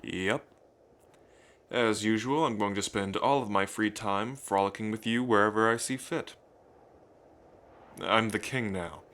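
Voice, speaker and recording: A man playing a romantic dragon king in an intimate ASMR roleplay, tender and unhurried, with playful pride as he talks to the listener. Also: fairly low pitch, fairly bright tone. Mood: playful, eager.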